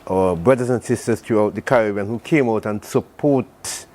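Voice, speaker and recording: A man's voice: lowish voice, normal speed, darkish tone, slight background noise. An older man, an association president and studio guest on a morning TV show, speaking earnestly and repeating his thanks. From Saint Vincent. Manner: earnest, thankful, repetitive phrasing. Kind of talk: morning TV interview.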